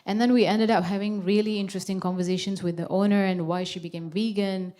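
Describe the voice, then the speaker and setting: A woman from Malaysia, an actress speaking at a conference, telling personal stories in a chatty way with many pauses and ums. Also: mid-range voice, fairly even intonation, mellow. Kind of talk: casual personal talk on stage. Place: conference hall.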